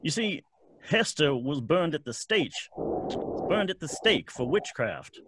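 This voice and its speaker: A man's voice: fairly high pitch, sing-song, measured pace, noisy background. A man playing a folksy, uneasy graveyard caretaker with a hesitant, rustic manner in an old-time radio drama. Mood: ominous, folksy.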